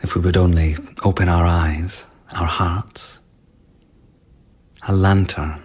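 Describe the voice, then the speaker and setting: An actor playing an eccentric court performer and earnest mystic in a radio drama, speaking with weighty, declamatory solemnity. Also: male voice, low-pitched, steady tone, slow, a little mellow, breathy edge, exaggerated voice. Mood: reverent, earnest.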